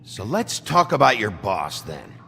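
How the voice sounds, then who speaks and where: An actor playing a gruff, dogged detective in a video game, terse, dry and controlled as he presses a suspect. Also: lowish voice, big pitch swings, a little slow, sharp tone, faint music behind, exaggerated voice. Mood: firm, probing.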